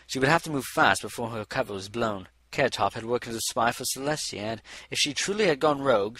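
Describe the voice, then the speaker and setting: A man's voice: medium pitch, a bit bright, low-quality recording. A man reading a scripted story as an audiobook narrator.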